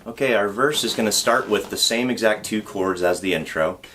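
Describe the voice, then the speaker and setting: A man teaching a song on guitar, explaining step by step in a patient, relaxed way and counting beats aloud. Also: lowish voice, moderate pace, slight background noise. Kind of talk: guitar tutorial. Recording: loud guitar under the voice. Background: acoustic guitar playing.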